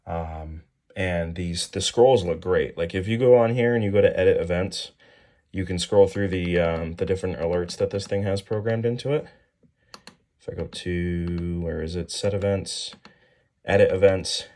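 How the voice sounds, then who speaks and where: A man giving a casual show-and-tell product rundown as a hobbyist video host, informal and somewhat halting. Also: sits low, a little mellow. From New England, United States.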